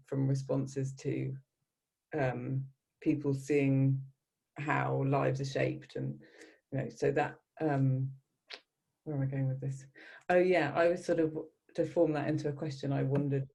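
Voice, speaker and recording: A man moderating an informal panel discussion, rambling and halting as he repeats words and builds up to questions. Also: medium pitch, creaky, mellow.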